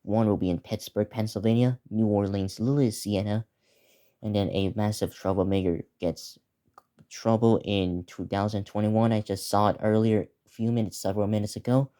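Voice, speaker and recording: A teenage male video creator giving a casual, unscripted preview, talking in a halting, repetitive way as he lists things. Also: fairly low pitch, steady tone, dark tone. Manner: halting, repetitive, listing place names.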